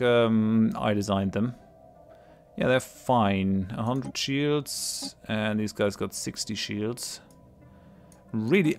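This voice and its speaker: Let's-play host, male, low voice, has a cold; rambling and chuckly, soft music bed underneath. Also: gravelly. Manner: thinks aloud with frequent ums and restarts; laughs readily between remarks. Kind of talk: casual gameplay commentary.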